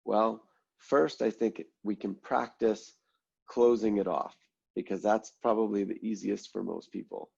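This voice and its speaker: A man leading an online mindfulness class, congested and a little nasal, explaining breathing steps in a relaxed way with many ums and "right?" check-ins. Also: fairly low pitch, measured pace, gravelly, husky, dull audio. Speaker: mindfulness instructor.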